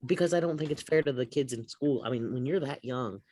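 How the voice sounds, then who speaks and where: A man's voice: medium pitch, fast talker, a little mellow, dry recording. A man co-hosting a sports podcast in casual conversation. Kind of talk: casual chat.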